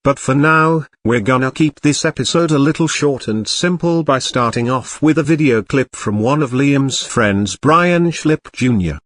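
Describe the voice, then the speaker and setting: A man's voice: medium pitch, unhurried, few pauses, no room sound. A man hosting a talk show, delivering scripted segments and announcements to viewers. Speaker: show host.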